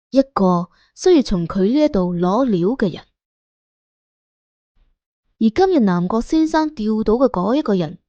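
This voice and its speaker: A woman's voice: medium pitch, mellow, no echo, dull audio. A woman narrating an audiobook in a colloquial storytelling style.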